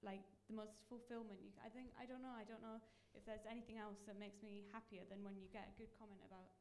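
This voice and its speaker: A young woman answering on a panel, soft and a little hesitant, her voice staying quite flat. Faint room noise sits behind her. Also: mid-range voice, fast. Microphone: handheld mic.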